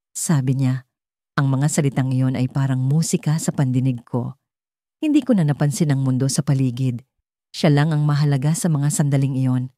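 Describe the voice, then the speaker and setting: A woman reading a scripted first-person sad love story in a dramatic, measured storytelling manner. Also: very deep voice, mellow, no room sound, studio quality. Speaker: story narrator.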